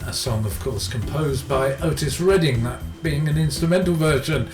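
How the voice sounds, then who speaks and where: A man presenting a music radio show in an informal, hesitant way, reeling off record details. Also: fairly high pitch. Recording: roomy sound with music behind.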